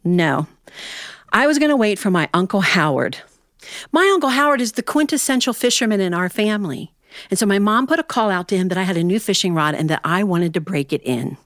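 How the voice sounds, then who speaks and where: An American woman storyteller from Ohio telling a tale live to listeners, in an animated, folksy way with dramatic pauses and asides. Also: mid-range voice, no room sound, clean studio sound. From Ohio, United States.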